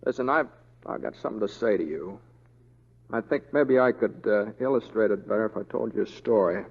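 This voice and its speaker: A man's voice: lowish voice, fairly quick, gravelly, slightly rough, big-room sound. A man playing an easygoing, wry western deputy with a folksy, hesitant drawl in an old radio drama. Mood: firm, storytelling. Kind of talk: 1940s radio acting. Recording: dull old radio recording.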